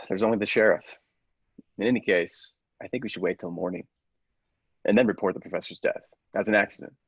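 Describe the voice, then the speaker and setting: A man playing a grave, persuasive scientist's assistant in a radio play, deliberate and theatrical, with secretive zeal. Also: lowish voice, gravelly, husky, dry recording, highs cut off. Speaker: podcast actor.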